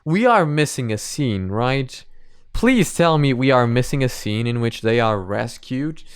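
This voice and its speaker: A man's voice: medium pitch, animated, unhurried, clear, resonant tone, no echo, studio quality. A man hosting a reaction video, giving casual commentary and thinking aloud in a hesitant, repetitive way.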